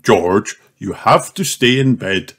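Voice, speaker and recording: Man as a low-voiced, reassuring father pig. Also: animated, slow pace, fairly bright tone, breathy edge, full character voice. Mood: firm. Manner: reassuring, firm. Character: calm fatherly pig in a children's storybook.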